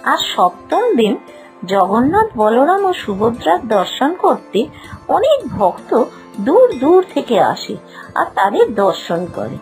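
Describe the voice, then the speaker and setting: A high-voiced woman tells a children's story. Her pitch swings very widely in a dramatic, scripted narration. Soft music plays behind her. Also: very animated, takes it slowly, poor audio.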